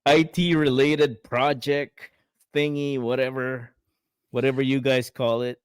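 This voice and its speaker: A man's voice: mid-range voice, little pitch movement, unhurried, smooth, no room sound. A man with a Filipino accent, co-hosting a casual chat show, rambling with many fillers and the odd laugh. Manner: rambling, filler-heavy, laughs while talking. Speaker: podcast co-host.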